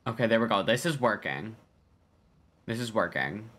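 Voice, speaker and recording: A man's voice: lowish voice, expressive, normal speed, fairly bright tone. A man casually reacting live to a video game, anxiously repeating himself. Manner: repeats words over and over when anxious.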